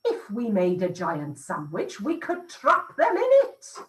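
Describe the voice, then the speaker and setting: A woman voicing an excitable male village baker who calls out eager, bossy instructions. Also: mid-range voice, a little slow, echoey room, far from the mic. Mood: excited. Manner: loud, eager, bossy exclamations.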